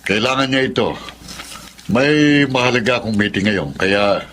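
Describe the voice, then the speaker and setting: A man's voice: lowish voice, normal speed, fairly bright tone, slight background noise, lightly acted. A man with a Filipino accent playing a cruel, impatient employer in a radio drama, bossy, curt and intimidating. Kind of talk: Tagalog radio drama acting.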